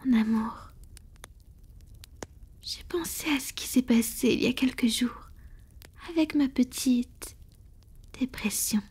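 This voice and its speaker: Breathy whispering vampire lover, played by a woman. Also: sits high, a little mellow, full character voice. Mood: tender, affectionate, then apologetic, vulnerable. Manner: slow, intimate, affectionate murmuring. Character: centuries-old female vampire, tender lover, proud but vulnerable. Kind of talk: French-language ASMR romantic roleplay.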